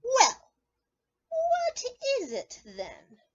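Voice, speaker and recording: A woman's voice: extremely high pitch, wildly swooping pitch, extremely slow, highs cut off, pitched above the performer's own voice, full character voice. A woman voicing a playful, stubbornly imaginative little rabbit from a storybook, musing and then exclaiming. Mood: musing, insistent.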